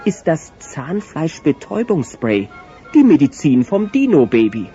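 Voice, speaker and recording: Animated male storyteller over music. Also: fairly high pitch, a little slow. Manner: dramatic, playful storytelling. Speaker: narrator. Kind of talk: scripted children's audio drama narration. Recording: dull cassette sound, loud music behind.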